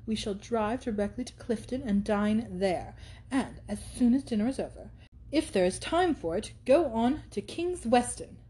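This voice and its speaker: A woman reading aloud and voicing an effusive, affected young society woman who gushes in long, drawn-out phrases. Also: on the high side, expressive, warm tone, natural voice, poor audio. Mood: gushing. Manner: drawn-out, gushing delivery.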